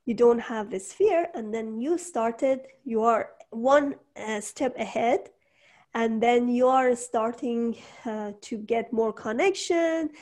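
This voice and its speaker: Brief: woman, non-native English speaker, higher voice, slow unhurried delivery, lively and laughing. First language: not English. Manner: animated, laughs often, acts out quoted voices. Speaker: podcast host and entrepreneur. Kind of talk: casual conversation.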